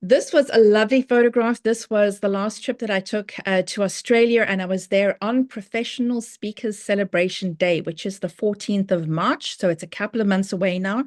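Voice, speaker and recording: A woman's voice: medium pitch, steady tone, normal speed, few pauses, darkish tone, no echo, studio quality. A middle-aged woman with a South African accent, giving a conversational online presentation to fellow professional speakers, with frequent fillers and restarts.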